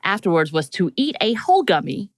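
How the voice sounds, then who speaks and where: A woman's voice: mid-range voice, sing-song, brisk, dry recording, clean studio sound. A woman co-hosting a podcast, telling a story in casual conversation and acting out bits of what was said. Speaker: podcast co-host.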